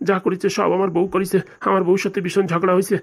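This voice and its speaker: A woman's voice: mid-range voice, flat delivery, fast talker, close to an everyday voice. A woman playing an elderly, sorrowful uncle in a comic cartoon, with a pleading, apologetic manner. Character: elderly, sorrowful, apologetic uncle begging his nephew's forgiveness.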